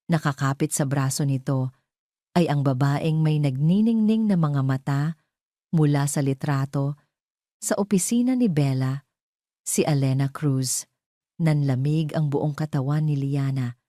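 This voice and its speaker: A woman's voice: deep voice, mellow, dry recording, studio quality. A woman storyteller giving a dramatic scripted narration of a romance audio drama.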